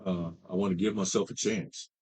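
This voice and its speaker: A man in his fifties telling his recovery story as a treatment client, halting, with many fillers and restarts. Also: sits low, steady tone, darkish tone, husky. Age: 50s. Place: treatment center.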